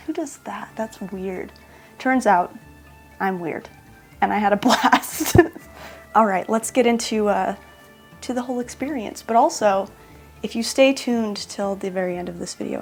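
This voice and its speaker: A woman vlogger talks straight to camera in a relaxed, chatty way at a mid pitch. She pokes fun at herself and slips small laughs into her sentences, with faint music running underneath. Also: moderate pace, vocal fry. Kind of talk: casual talk to camera.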